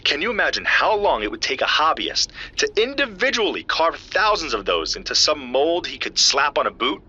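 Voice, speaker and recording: Man acting bright, raspy, geeky scientist, animated. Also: a little high, normal speed, dull audio, heavily acted. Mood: assured, wry. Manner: precise, technical, lecturing yet familiar. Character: earnest, geeky male scientist explaining footprint evidence to a friend. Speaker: scientist analysing footprint casts. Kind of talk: audio drama dialogue, technical explaining.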